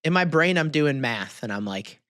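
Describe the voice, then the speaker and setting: A man's voice: on the high side, lively intonation, normal speed, fairly bright tone, no echo, studio quality. An American man co-hosting a podcast, chatting casually and emphatically, often repeating himself for stress. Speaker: podcast co-host.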